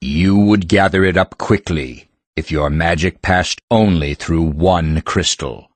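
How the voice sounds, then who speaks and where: Deep, slow ancient stone being. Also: male voice, dry recording. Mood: solemn, instructive. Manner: formal, pedantic, measured wording. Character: ancient living mountain heart, solemn male stone teacher.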